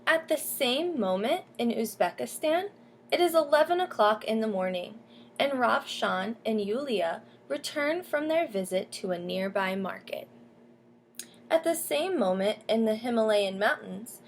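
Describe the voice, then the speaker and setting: A woman with a fairly high voice reads a children's storybook aloud like a teacher. She steps out of the text to explain things and put questions to young listeners. There is faint noise behind her. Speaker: teacher reading a picture book aloud.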